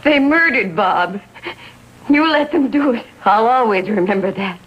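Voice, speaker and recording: Muffled vintage TV sound carries a husky American mother, bitter and grief-stricken. She lays blame with anguished emphasis, in a 1950s police drama manner. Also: on the high side, lively intonation, a little slow. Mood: bitter grieving.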